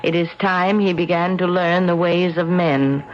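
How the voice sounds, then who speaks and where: An actress playing a mournful, insistent old ghost of a sea captain's widow in a solemn, old-fashioned radio drama style. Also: female voice, on the low side, fairly even intonation, a little slow, lightly acted. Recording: dull old radio recording, music behind.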